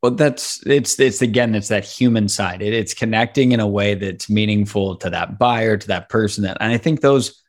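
A man's voice: fairly low pitch, normal speed, few pauses. A man hosting a podcast interview, speaking thoughtfully with hesitant restarts and open enthusiasm. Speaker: podcast host.

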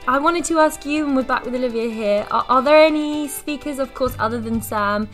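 A woman's voice: high-pitched, fairly quick, fairly bright tone, soft background music. A woman hosting a business podcast, putting questions to guests in a conversational, professional way. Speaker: podcast host, interviewer.

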